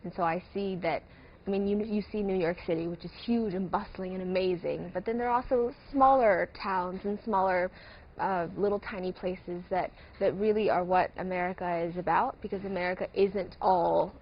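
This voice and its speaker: A woman's voice: fairly low pitch, steady tone, moderate pace. A fifteen-year-old girl from Singapore giving eager, chatty answers as an interview guest. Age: 15, teenager. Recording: dull lo-fi sound with clear noise.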